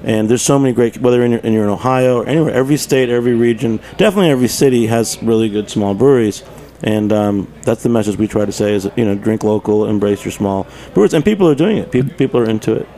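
A man's voice: medium pitch, fast, mellow. A man chatting casually as a guest in a radio interview. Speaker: radio show guest, bar owner.

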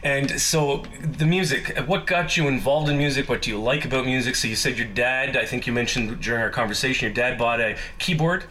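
A man hosting a podcast, asking measured, curious questions in a casual interview. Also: mid-range voice, sharp tone, a little hiss or hum. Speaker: podcast host.

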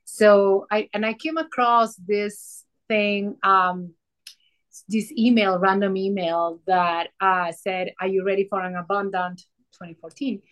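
A woman from Peru with a Peruvian Spanish accent, a podcast guest and mentor telling her story in a casual conversation, pausing often with fillers and restarts. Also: mid-range voice, steady tone, fairly bright tone. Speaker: guest, soul alignment mentor.

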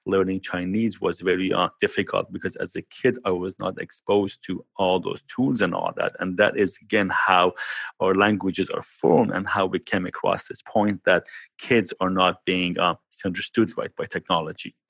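A man's voice: low-pitched, level tone, moderate pace, vocal fry, a bit bright, breathy edge, no echo. A man speaking English with a non-native accent, a startup founder explaining his technology in conversational interview answers, often saying "basically" and "right".

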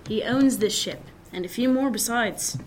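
A woman plays a young eighteenth-century ship's officer, level-headed and dryly witty. The voice is on the high side and speaks in formal nautical phrases. There is some ambient noise behind it, as in an audio drama. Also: normal speed, close to an everyday voice. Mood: brisk, courteous. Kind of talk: period radio drama acting.

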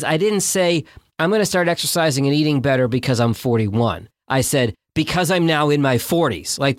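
A man's voice: fairly high pitch, no room sound, clean studio sound. A man in his forties co-hosting a podcast, chatting casually and telling personal stories in an upbeat way. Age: 40s. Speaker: podcast co-host. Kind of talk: casual chat.

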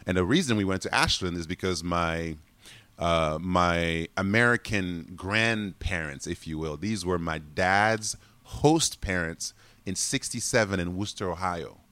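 A man talks in a deep, low voice. He tells his stories with animation and a ready laugh. The sound is close and dry, with no room around it. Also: measured pace, no room sound. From Congo. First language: French. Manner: animated, laughs often while telling stories. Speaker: guest. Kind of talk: casual interview storytelling.

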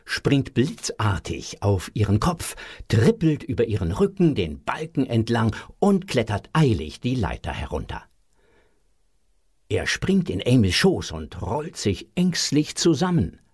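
A man's voice: mid-range voice, a little mellow, breathy edge. A man narrating a scripted audio drama for children.

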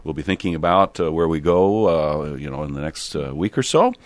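A man with an American accent and a gravelly voice, talking reflectively and haltingly as a bishop hosting a radio show. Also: very deep voice, lively intonation, dry recording. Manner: halting, meandering with frequent hesitations. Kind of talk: reflective, conversational radio talk.

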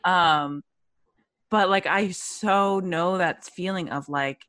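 Woman podcast host, deep-ish voice, dry close mic, talks animated with lots of likes. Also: takes it slowly, no room sound. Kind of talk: casual chat.

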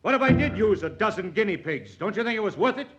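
Idealistic doctor for vintage radio drama: slightly high voice, proud, articulate, theatrical, dull old recording. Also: male voice, big pitch swings, quick pace. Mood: impassioned pleading. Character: earnest, proud, idealistic young male doctor. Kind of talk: 1940s radio acting.

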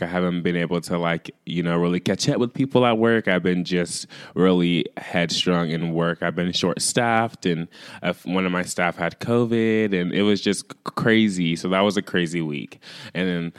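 A man with a very low voice, smooth and resonant despite a lingering rasp from recovering his voice. He chats casually to his podcast listeners, leaning on fillers and friendly asides. The sound is close and dry. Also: dry recording. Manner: chatty, filler-heavy, addresses listeners as "you guys". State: recovering from lost voice, raspy. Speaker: podcast host. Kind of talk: casual solo podcast chat.

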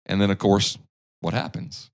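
A man's voice: fairly low pitch, steady tone, moderate pace. A man, hosting a church podcast and making earnest, preachy points that often restart mid-sentence. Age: 30s. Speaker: podcast host.

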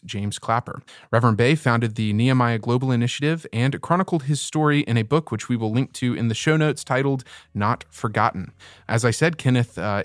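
A man's voice: mid-range voice, dry recording, clean studio sound. A man hosting a podcast, giving introductions and interviewing a guest in a conversational, presenter-like style. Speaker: podcast host.

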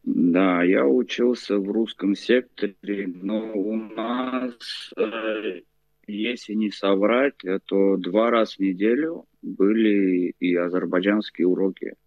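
A man in his forties from Azerbaijan, a guest telling his life story in a casual interview, halting with many fillers. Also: on the low side, no echo, highs cut off. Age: 40s.

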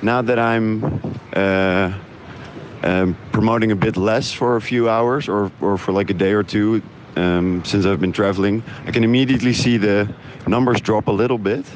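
A man's voice: fairly low pitch, fairly even intonation, normal speed, background noise. A man from the Netherlands, an independent audio creator, talking casually and hesitantly as he thinks aloud in a voice memo.

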